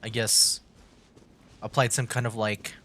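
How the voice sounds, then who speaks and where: A man's voice: on the low side, flat delivery, measured pace, pushed and bright, a little hiss or hum. A man streaming a story game, rambling with lots of fillers as he reacts. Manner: rambling, filler-heavy, laughs easily. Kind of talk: casual let's-play commentary. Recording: stream with game music behind.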